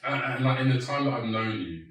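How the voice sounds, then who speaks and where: Soft-spoken male host in echoey room. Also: mid-range voice, expressive, a little fast. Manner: soft, hesitant, repeats words when starting questions. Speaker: interview host. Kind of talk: casual conversational interview. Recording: very echoey room, far from the mic.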